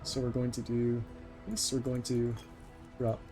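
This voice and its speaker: Casual male speedrun commentator, mid-pitched and unhurried, talking through each move over soft game music. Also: completely flat delivery. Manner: explains each step as they play. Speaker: speedrunner, live streamer. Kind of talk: live gameplay commentary, casual.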